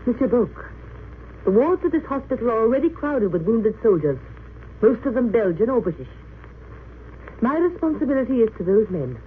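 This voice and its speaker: Crackly thin old radio play, an earnest dignified english nurse, very expressive pitch. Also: female voice, normal speed, long pauses. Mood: firm concern. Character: principled, earnest English nurse running a wartime hospital. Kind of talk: 1930s-40s radio drama acting. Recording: tinny, hissy old radio recording.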